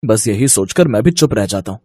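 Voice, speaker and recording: A man's voice: lowish voice, animated, brisk, warm tone, slightly rough, no echo. A man playing a caring young husband and son in a cartoon story, earnest and firm. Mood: concerned, resigned. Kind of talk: Hindi animated moral-story voice acting.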